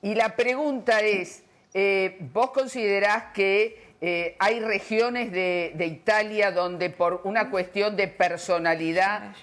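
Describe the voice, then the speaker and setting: A woman's voice: medium pitch, steady tone, slow. A woman with an Argentine accent interviewing conversationally, wordy and personal, often feeling her way to the question. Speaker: panel interviewer.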